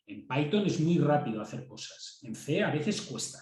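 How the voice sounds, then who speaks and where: A man's voice: on the high side, very animated, moderate pace, a little mellow, reverberant. A man with a Castilian Spanish accent giving a university class, talking conversationally, repeating words as he thinks and checking in with students. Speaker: university lecturer. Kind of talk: classroom lecture.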